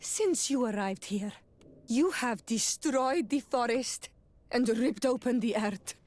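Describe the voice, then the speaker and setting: A woman's voice: on the high side, slow pace, a little mellow. A woman playing a proud, earnest young native princess in a video game cutscene. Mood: defiant.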